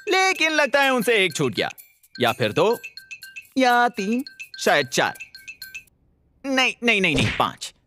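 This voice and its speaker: A man narrating a scripted video in a playful, animated storytelling manner. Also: high-pitched, exaggerated voice.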